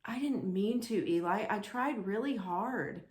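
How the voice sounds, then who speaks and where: Small unsure wooden storybook character, male, acted by a woman: plaintive, hesitant, slow, with room echo. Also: mid-range voice, lively intonation, darkish tone, natural voice. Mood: timid. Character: timid, unsure little wooden puppet-person, male. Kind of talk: children's storybook read-aloud.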